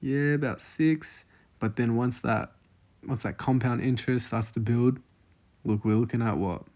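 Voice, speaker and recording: A young Australian man in his twenties talks casually through figures on his screen. He thinks aloud, full of "um" and "like". His voice sits at an ordinary middle pitch. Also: measured pace, a little mellow. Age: 20s. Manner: casual, filler-heavy, thinking aloud over numbers. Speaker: investing vlogger. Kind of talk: casual screen-share walkthrough.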